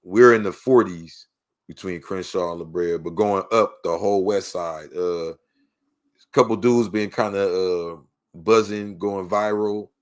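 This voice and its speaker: A man's voice: deep voice, measured pace, clean tone. A man from Los Angeles with a local accent, talking in a casual, slangy way as a podcast guest telling his story. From Los Angeles, United States. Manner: slangy, peppered with "you know what I mean".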